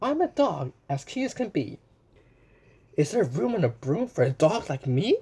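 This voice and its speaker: A man's voice: high-pitched, very animated, normal speed, dark tone, breathy edge, full character voice. A man voicing a cute, eager little dog from a storybook, barking and pleading in rhyme.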